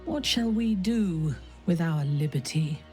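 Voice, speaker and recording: Slow, low, imperious fantasy heroine. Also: female voice, lively intonation, a little mellow, faint music behind. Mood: proud, wistful. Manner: measured, formal, haughty. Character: proud, imperious female companion in a fantasy game. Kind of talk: dramatic game acting.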